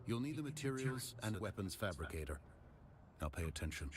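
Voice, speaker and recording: An actor playing a stern, formal swordmaster and teacher in a video game, speaking in a measured way. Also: male voice, fairly low pitch, very animated, background noise. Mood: stern, instructive. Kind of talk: video game dialogue acting. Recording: music playing behind the voice. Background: game music.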